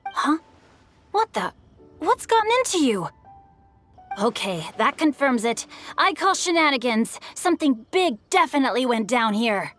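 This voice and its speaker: A woman playing a suspicious, quick-witted young prankster in a video game, with a teasing, inquisitive delivery. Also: high voice, very animated, a bit bright, faint music behind, exaggerated voice. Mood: baffled.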